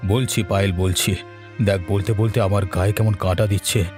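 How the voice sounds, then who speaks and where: A man's voice: lowish voice, fairly even intonation, normal speed, darkish tone, faint music behind, close to an everyday voice. A man voicing a doting, fearful village father in a cartoon, speaking in a tender, uneasy way. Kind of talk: cartoon voice acting.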